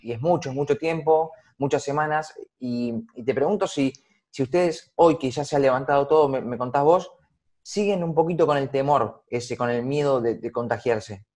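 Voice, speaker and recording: A man with an Argentine Spanish accent interviewing a guest, framing his questions in a measured way and often repeating words. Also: mid-range voice, a little mellow. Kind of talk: casual interview.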